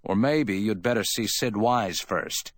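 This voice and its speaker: A man's voice: fairly low pitch, unhurried, pitched above the performer's own voice, lightly acted. A man playing a wry, offhand hardboiled man in an audiobook, speaking in a clipped, lightly amiable, dismissive way. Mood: cool, advising. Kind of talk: dramatic audiobook character reading.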